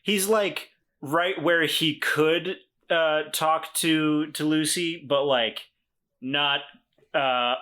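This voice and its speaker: Bright-voiced man playing a tabletop game. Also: a little high, fairly even intonation, extremely slow. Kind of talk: casual in-game chat.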